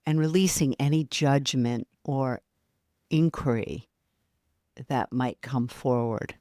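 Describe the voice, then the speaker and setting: A woman guides a meditation in a dry, intimate-sounding recording. Her delivery is slow and soft, with long pauses between short phrases. The manner is soothing and unhurried throughout, as a yoga nidra guide. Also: extremely low pitch, no room sound.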